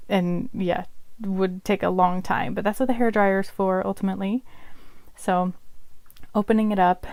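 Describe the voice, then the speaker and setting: A woman's voice: medium pitch, a little mellow, dry recording. A young woman whose first language is Polish, chattily walking through a homemade puzzle project in a casual tutorial. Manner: chatty, explanatory, occasional laughs. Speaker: craft vlogger.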